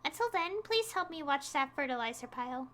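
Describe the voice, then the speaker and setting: A woman reading aloud a puzzled, earnest villager man in a video game, a little haltingly. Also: high-pitched, lively intonation, fairly bright tone, slight background noise. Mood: polite request. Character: puzzled, earnest farmer villager man tinkering with homemade fertilizer.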